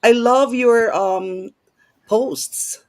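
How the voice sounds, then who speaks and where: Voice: medium pitch, very slow, dry recording, clean studio sound. A host asking guests questions casually, with short encouraging reactions. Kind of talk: casual interview on a livestream.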